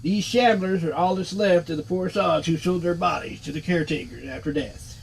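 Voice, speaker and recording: A man's voice: a little high, moderate pace, vocal fry, a little hiss or hum, low-quality recording. A man voicing a sardonic, wisecracking floating skull in a cheeky, sarcastic manner, reading game dialogue aloud. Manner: cheeky, sarcastic, street-talking.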